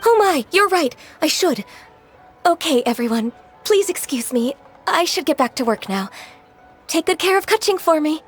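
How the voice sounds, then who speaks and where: A woman playing a gentle, anxious and polite young woman in a video game, with a hesitant, earnest manner. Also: very high voice, big pitch swings, normal speed, soft background music. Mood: polite, hurried. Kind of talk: video game cutscene acting.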